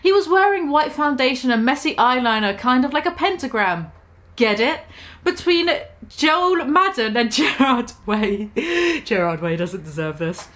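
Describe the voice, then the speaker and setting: A woman with a high, very animated voice, reading a story in a mock-dramatic way. She breaks off often into sarcastic, laughing asides. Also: measured pace. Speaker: comedic reader.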